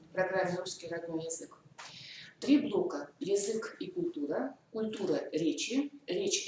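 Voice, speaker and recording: A woman's voice: mid-range voice, darkish tone. A woman from the Komi Republic giving a methodical teacher-training lecture, walking through points step by step with hesitant fillers. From Komi Republic, Russia. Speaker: lecturer on school curriculum. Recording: echoey hall from far off, faint noise.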